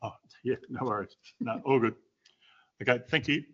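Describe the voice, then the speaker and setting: A man's voice: medium pitch, moderate pace, a bit bright, slightly rough. A man on a hearing panel, asking questions courteously and hesitantly with many fillers. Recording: lo-fi video call audio.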